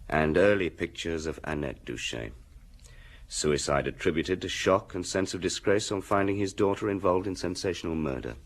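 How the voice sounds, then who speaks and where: A police detective in a radio play, with a low voice. He reports in clipped, factual phrases, plain and businesslike. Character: matter-of-fact male police detective giving a report. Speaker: actor in radio drama.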